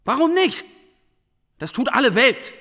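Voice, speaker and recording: A man's voice: very high voice, big pitch swings, measured pace, pushed and bright, big-room sound, full character voice. A man in a radio play acting an earnest, brooding schoolteacher who questions and reflects with intensity. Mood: agitated. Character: brooding, earnest male schoolteacher, fascinated and indignant. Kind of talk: German radio drama dialogue.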